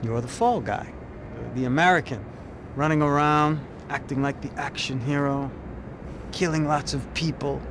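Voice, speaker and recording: Man voicing a sly detective, sardonic, lecturing, slightly high voice, loud traffic behind. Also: moderate pace, lightly acted. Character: sly, cynical Brazilian police detective, man. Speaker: police officer. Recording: game scene with loud street traffic.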